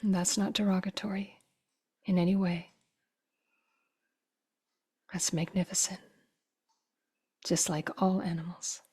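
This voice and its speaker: A woman guiding a meditation in a soft, breathy voice that often drops toward a whisper, with slow, gentle phrases and long pauses. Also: lowish voice, fairly even intonation. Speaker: meditation teacher. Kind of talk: guided meditation.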